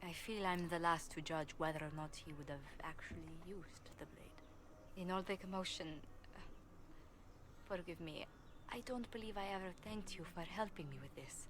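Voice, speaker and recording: A woman's voice: on the low side, normal speed, fairly bright tone, a little hiss or hum, natural voice. A woman playing a polite, regretful noblewoman diplomat and former bard in a video game, speaking in formal, eloquent phrases. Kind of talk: video game dialogue acting.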